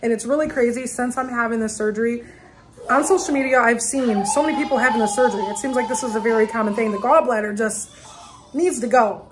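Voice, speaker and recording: A chatty woman in her thirties talks straight to the camera in a casual, rambling way, her voice sitting a little high. She films herself at arm's length in a room of her home, with a faint household hum behind her. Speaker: vlogger, single mom of three. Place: home dining area indoors. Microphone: phone or camera at arm's length.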